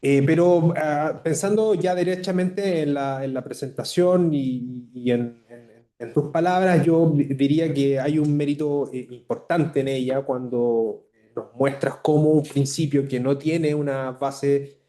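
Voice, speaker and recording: A man giving an academic commentary, hesitant, with frequent pauses and restarts. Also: fairly high pitch, mellow. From Chile. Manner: hesitant, many fillers and restarts.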